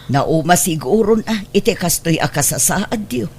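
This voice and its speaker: A woman's voice: fairly low pitch, lively intonation, normal speed, a little mellow, slight background noise. A woman playing an older mother and doting grandmother, anxious and remorseful, in an Ilocano-accented radio drama. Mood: sorrowful, consoling. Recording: music bed behind the voice.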